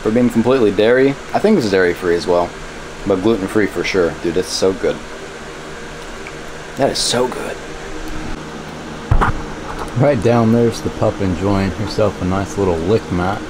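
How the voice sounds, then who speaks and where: A man's voice: lowish voice, expressive, normal speed, long pauses. A man in his twenties chatting casually to a camera in a laid-back, colloquial way. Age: 20s. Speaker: vlogger. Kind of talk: casual vlog chat. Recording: noisy handheld camera audio with ambient hum.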